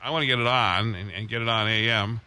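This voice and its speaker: A man hosting a casual radio chat about old transmitters, rambling with many pauses and restarts. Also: lowish voice, pushed and bright, clean tone.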